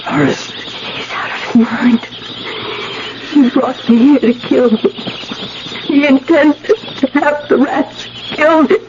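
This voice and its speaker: A noisy vintage radio recording carries a desperate, accused wife. She speaks slowly and breathlessly in imploring, drawn-out phrases. Her performance is pure radio melodrama, frightened and pleading. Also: high-pitched. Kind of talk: 1970s radio drama acting. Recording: noisy, dull old radio broadcast.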